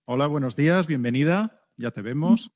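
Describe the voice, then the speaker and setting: A man's voice: fairly high pitch, normal speed, a little mellow. A man with a Spanish accent from Spain, courteously moderating a live online event and talking informally with presenters. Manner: courteous, checking technical details. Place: video call.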